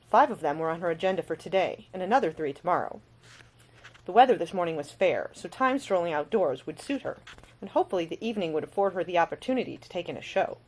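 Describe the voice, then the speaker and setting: A woman narrates scripted fiction as its author. Her voice sits a little low but moves with plenty of melody. The delivery is expressive storytelling. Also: poor audio. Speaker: author reading own audiobook.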